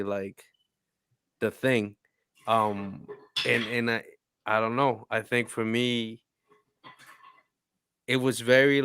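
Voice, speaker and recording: A man talking in a casual podcast conversation, reflective and halting, often restarting his sentences. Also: lowish voice, fairly bright tone, clean tone, dry recording, clean studio sound.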